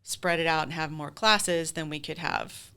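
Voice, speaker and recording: Woman w a low bright voice, chatty interview guest explaining stuff at length. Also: measured pace, clean tone. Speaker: community theater group president, interview guest. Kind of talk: conversational interview.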